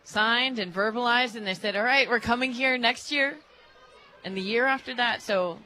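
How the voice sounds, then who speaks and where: A woman's voice: on the high side, moderate pace, sharp tone, some chatter behind, low-quality recording. An American woman giving conversational color commentary on a live sports radio broadcast. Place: stadium radio booth.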